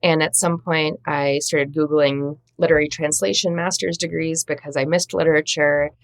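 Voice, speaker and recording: Woman in her 30s, low voice, chatty and self-deprecating, laughs a lot, dry close sound. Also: fairly even intonation, moderate pace, no echo. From United States. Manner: reflective, self-deprecating, laughs often, restarts phrases. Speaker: literary translator and editor, interview guest. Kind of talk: conversational interview answers.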